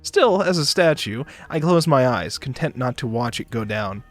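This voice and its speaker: A man's voice: mid-range voice, expressive, moderate pace. A man streaming a visual novel read-aloud, narrating expressively and breaking into playful asides. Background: game music.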